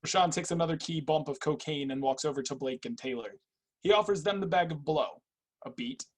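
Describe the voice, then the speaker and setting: A man with a husky voice reading stage directions aloud as scripted narration in a play reading. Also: fairly high pitch, fairly quick.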